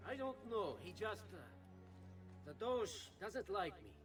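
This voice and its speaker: A man's voice: sits high, sing-song, unhurried, recorded from a distance, over music. A man playing an insecure, defensive government official with an Italian accent in a video game cutscene, pleading and self-important. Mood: indignant whining. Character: insecure, whining, defensive male Venetian government official and conspirator.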